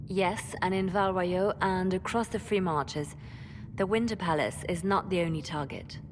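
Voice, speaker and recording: A calculating female spymaster from a fantasy game, played by a woman. The voice sits fairly low, with grave composure. The delivery is deliberate and businesslike. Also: background noise, natural voice. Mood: urgent. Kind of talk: dramatic video game acting.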